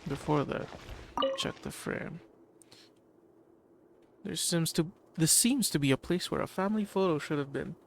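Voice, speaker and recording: A man's voice: a little high, a little slow, gravelly, long pauses, background noise. A man streaming a game, casually muttering and reading along as he plays. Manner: mumbled, offhand reading-along. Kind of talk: casual commentary while playing.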